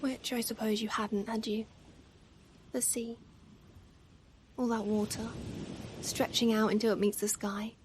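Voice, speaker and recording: Woman acting as a doting mother, highish gentle murmur, affectionate, ASMR roleplay, ocean noise behind. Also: unhurried, long pauses, natural voice. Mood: gentle, reminiscing. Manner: soft, affectionate, gently murmuring, sometimes near whisper. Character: tender, doting, playfully self-deprecating mother comforting her pet. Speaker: ASMR performer. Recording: steady ambient noise, ocean waves. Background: ocean waves ambience.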